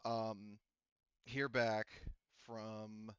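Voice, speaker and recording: A man's voice: lowish voice, level tone, very slow, fairly bright tone, clean tone, dry recording, dull audio. A man acting as game master, explaining rulings and story in a rambling way with lots of fillers. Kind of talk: narrating a tabletop game.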